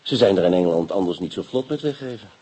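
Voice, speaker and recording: An actor playing a shrewd, determined police inspector who presses with pointed questions, in a radio play. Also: male voice, low voice, expressive, fast, slightly rough. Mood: thoughtful. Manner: sharp, insistent, probing. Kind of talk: radio drama acting.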